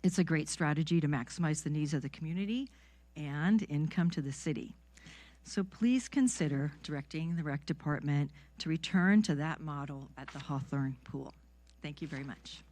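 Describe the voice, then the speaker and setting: Low-voiced woman making a public appeal. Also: moderate pace, a little mellow. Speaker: member of the public. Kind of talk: public comment at a council meeting.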